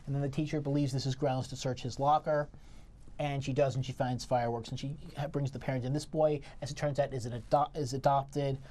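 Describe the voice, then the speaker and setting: A man's voice: mid-range voice, flat delivery, a little fast, a little mellow. A middle-aged man, casually discussing and arguing a review as a critic and co-host, in an insistent manner. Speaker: theatre critic, show co-host.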